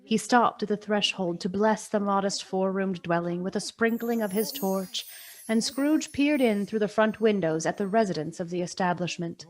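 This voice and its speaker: A woman narrator reading a scripted story for a radio play in a measured, theatrical storytelling manner. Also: medium pitch.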